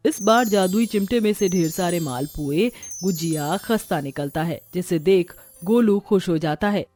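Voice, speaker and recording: A woman's voice: fairly low pitch, a little slow, darkish tone, soft background music. A woman reading scripted narration for a cartoon story.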